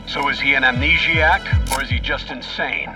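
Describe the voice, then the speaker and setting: An insistent mission officer played by a man sounds slightly distant. He speaks inquisitively over clear music, in dramatic game style. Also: mid-range voice, steady tone, moderate pace, lightly acted. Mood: puzzled, pressing. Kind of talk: dramatic video game acting.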